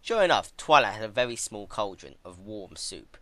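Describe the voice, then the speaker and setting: A man narrating a story in an expressive, dramatic reading style. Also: lowish voice, normal speed, no echo. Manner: animated, expressive delivery. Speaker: fan fiction reader.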